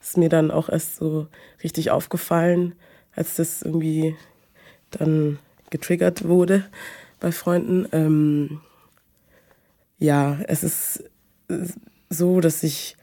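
A woman, talking hesitantly about her personal life with many pauses and fillers. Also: low voice, level tone, mellow. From Munich, Germany. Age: 20s. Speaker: guest. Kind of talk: personal conversation.

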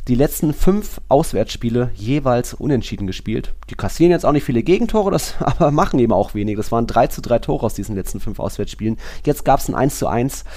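A man's voice: mid-range voice, expressive, fairly quick, hardly any pauses, mellow. A man with a casual, conversational delivery, talking as a host on a football podcast.

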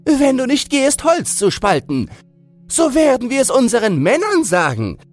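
Shrill, nagging village woman. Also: huge pitch swings, moderate pace, soft background music, full character voice. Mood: threatening. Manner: scolding, threatening, insistent. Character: nagging, scolding village sisters-in-law, shrill women. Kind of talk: fairy-tale audiobook character voice.

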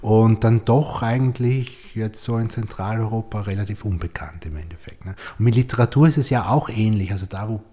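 A man's voice: lowish voice, brisk, few pauses, mellow. A man hosting a podcast, chatting casually in long, enthusiastic, rambling turns. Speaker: podcast host. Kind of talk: casual interview conversation.

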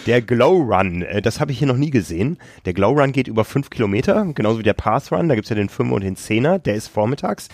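A man's voice: mid-range voice, expressive, fast talker, few pauses, a little mellow, no echo, studio quality. A man co-hosting a podcast, telling stories in a casual chat while calling in remotely from a hotel, slightly ill with a cough and laughing often. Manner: chatty storyteller, laughs often. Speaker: podcast co-host. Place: hotel on a tropical island. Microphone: remote call.

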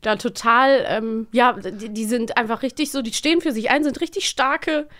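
A woman in her thirties with a fairly high voice. She hosts a podcast in a casual, chatty way, enthusiastic and emphatic about what she discusses. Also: moderate pace, a bit bright. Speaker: podcast host. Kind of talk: casual chat about radio plays.

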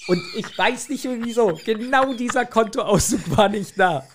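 A man's voice: high voice, expressive, a little slow, a little hiss or hum. A middle-aged man, co-hosting a podcast and casually telling stories in a rambling, acted-out way. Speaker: podcast co-host. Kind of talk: casual personal storytelling.

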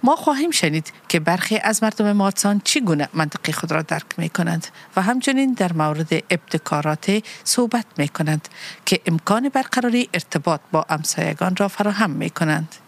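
A woman co-hosting a community podcast, reading translations of the host's script at a brisk clip. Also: lowish voice, lively intonation.

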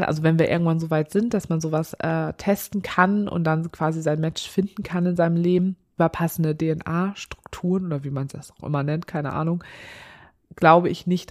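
A woman's voice: low-pitched, darkish tone. A woman hosting a solo podcast, talking candidly and chattily with a slightly nasal voice from a blocked nose. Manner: chatty, candid, laughs often. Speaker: podcast host. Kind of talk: casual solo podcast chat.

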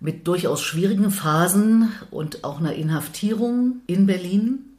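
A woman's voice: mid-range voice, a little slow, a little mellow. A woman in her sixties from Thuringia, hosting a podcast interview with curious, easy questions. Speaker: podcast host. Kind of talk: conversational interview.

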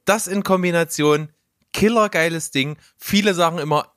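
Higher-voiced guy hosting a casual podcast. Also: normal speed, fairly bright tone, no echo, clean studio sound. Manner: jokey, colloquial. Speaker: podcast host. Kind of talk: casual chat.

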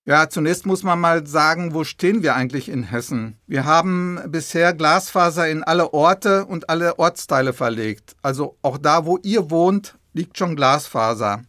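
A man's voice: fairly high pitch, normal speed, few pauses, sharp tone, no room sound, clean studio sound. A man explaining things patiently and plainly to young listeners as an expert guest in an interview. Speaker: government official, expert guest.

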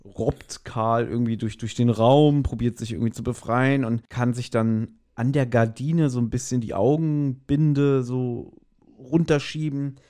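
A man's voice: medium pitch, steady tone, a little slow, dark tone. A man with a German accent co-hosting a podcast in casual chat about an audio drama, often hesitantly searching for words. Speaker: podcast co-host.

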